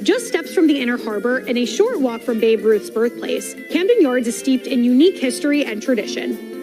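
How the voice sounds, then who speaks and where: A woman reading an upbeat, scripted promotional travel guide. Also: sits high. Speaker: narrator of ballpark guide. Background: music.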